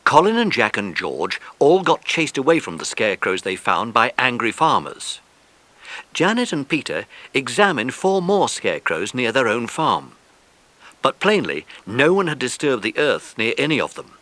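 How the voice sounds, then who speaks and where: A man's voice: mid-range voice, sing-song, normal speed, pushed and bright, dry recording. A man narrating a children's story from a script, as the narrator of an audiobook.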